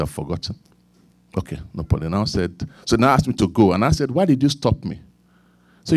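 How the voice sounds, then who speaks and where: A middle-aged male pastor with a Nigerian accent delivers a sermon in a mid-pitched voice. He repeats his points for weight, climbs to emphatic peaks and falls back to low, confiding asides. The recording is dry and close, with no room echo. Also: breathy edge. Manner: preaching with repetition and asides, swinging from emphatic to quiet.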